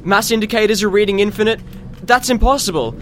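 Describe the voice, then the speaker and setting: A man's voice: high voice, fast talker, fairly bright tone, faint music behind. A man playing a dutiful, anxious military technician in an audio drama, reporting with formal urgency. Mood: alarmed.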